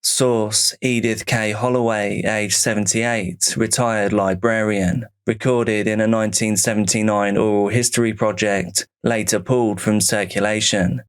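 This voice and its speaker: A man narrates a scripted horror mystery as if reading out an official file. His voice sits on the low side and he moves very slowly through short, clipped phrases. The delivery is measured and report-like. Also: fairly even intonation, clear, resonant tone.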